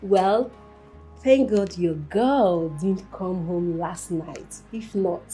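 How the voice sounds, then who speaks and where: An actress playing a young woman who apologizes in a soft, hesitant way. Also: fairly low pitch, drawn-out words, soft background music, low-quality recording. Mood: apologetic.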